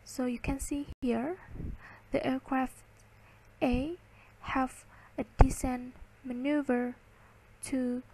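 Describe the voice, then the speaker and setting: A woman giving a slide lecture, reading and explaining in halting, word-by-word phrases. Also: high voice, level tone, darkish tone, a little hiss or hum, low-quality recording.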